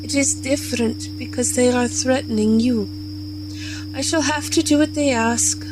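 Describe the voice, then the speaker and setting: A woman's voice: high voice, moderate pace, noisy background, natural voice. A woman playing a principled captive rabbit scientist in an audio space drama, earnest and resolute. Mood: grave, resolute. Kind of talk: scripted audio drama acting.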